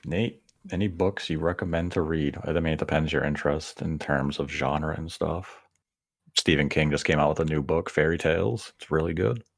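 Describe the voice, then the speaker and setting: A man with a very deep voice co-hosts a podcast and reads viewer comments aloud. He speaks softly and casually. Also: normal speed, gravelly, no room sound, studio quality. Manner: soft-spoken, reads chat aloud. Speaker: podcast co-host. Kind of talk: casual chat.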